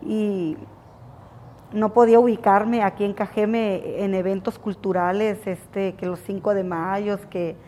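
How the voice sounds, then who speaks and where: A woman's voice: medium pitch, steady tone, a little slow, mellow. A woman in her fifties from Sinaloa, recalling her past in a reflective, hesitant way during an interview. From Mexico, Sinaloa. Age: 50s. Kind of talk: personal recollection. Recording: long reverb.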